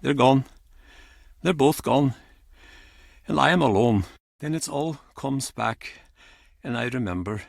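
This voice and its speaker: A man's voice: mid-range voice, lively intonation, gravelly, dry recording, clean studio sound. An actor playing an embittered, grieving old fisherman in a video game, blunt and theatrical in manner. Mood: sorrowful, remorseful. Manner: theatrical, blunt, halting old-salt turns of phrase. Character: gruff, embittered, grieving old Icelandic fisherman and pub regular. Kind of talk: video game character acting.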